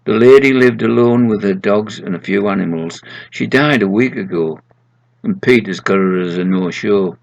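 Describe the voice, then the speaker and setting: A man's voice: fairly low pitch, moderate pace, creaky, dull audio. A man voicing a businesslike male boss from heaven handing out a job in a story.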